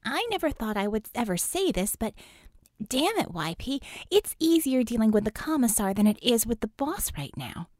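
Feisty young superheroine as played by a woman reader, blunt and emphatic, highish pitch with lively swings, dry close sound. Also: moderate pace, no echo. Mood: exasperated. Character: outspoken, feisty young female superhero, exasperated but caring.

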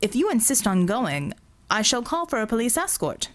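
A woman's voice: a little high, very animated, normal speed. A woman voicing a deferential, fretful Indian businessman in an audiobook, formal and hesitant in manner. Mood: anxious. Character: anxious, deferential Indian businessman, stiff and easily offended.

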